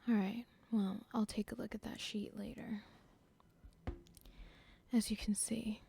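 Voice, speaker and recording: A scheming cartel boss , voiced by a woman, plots aloud in a close, breathy whisper for an ASMR roleplay. The whisper is husky with a gravelly edge, and every word is drawn out slowly. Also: a little high, little pitch movement, dark tone, heavily acted.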